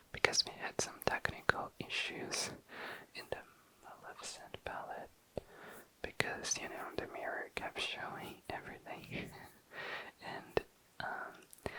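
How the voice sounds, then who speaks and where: A young adult ASMR host whispering casual, tentative commentary, often self-correcting in an unhurried way. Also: bright, forceful tone, rough recording. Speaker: host of an ASMR makeup video. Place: indoors, tabletop close-up video.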